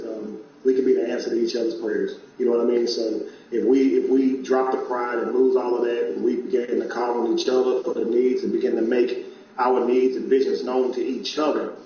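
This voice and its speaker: A man hosting an online talk show, speaking earnestly and conversationally to his viewers. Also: mid-range voice. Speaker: show host. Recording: distant echoey lo-fi mic.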